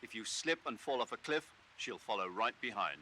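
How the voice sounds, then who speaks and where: A commanding male officer in a video game, with an extremely sharp, forceful tone. He briefs with authority and lively intonation, over a faint hiss. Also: fairly low pitch, moderate pace. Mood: commanding, instructive. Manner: authoritative, instructive.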